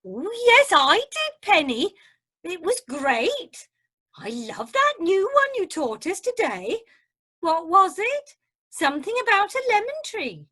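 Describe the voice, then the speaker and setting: A woman's voice: very high-pitched, sing-song, takes it slowly, sharp tone, heavily acted. A woman playing a chatty, nosy cruise passenger who loves gossip, in an amateur radio drama. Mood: chirpy, interested. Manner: gossipy, chirpy.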